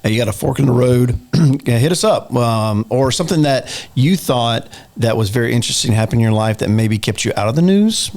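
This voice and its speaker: A man co-hosting a casual comedy podcast, easygoing and halting, full of fillers. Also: medium pitch, lively intonation, a little mellow. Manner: easygoing, hesitant, many fillers. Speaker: podcast co-host.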